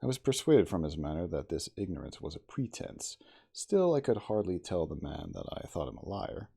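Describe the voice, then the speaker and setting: A man giving a theatrical, deliberate ASMR storybook reading as the narrator of a classic novel. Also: low-pitched, sing-song, creaky, mellow, breathy edge.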